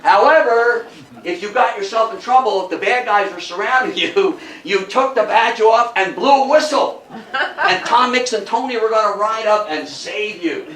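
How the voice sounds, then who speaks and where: An older man giving an informal, animated lecture to an audience, repeating phrases for emphasis and often checking in with an okay. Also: sits high, normal speed. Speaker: lecturer at a historical society talk. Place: indoor talk room with audience. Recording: echoey room, distant mic, background noise.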